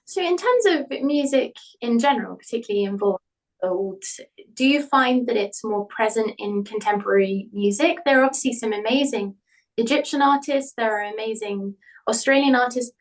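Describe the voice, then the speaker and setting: A woman hosting an interview, asking polite, measured questions. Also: on the high side. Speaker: host and author. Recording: voice a bit far from mic.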